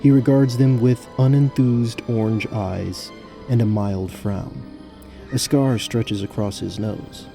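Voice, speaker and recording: A man narrating a scripted fantasy tabletop story as game master in a measured, descriptive way. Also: mid-range voice, fairly even intonation, gravelly, over music.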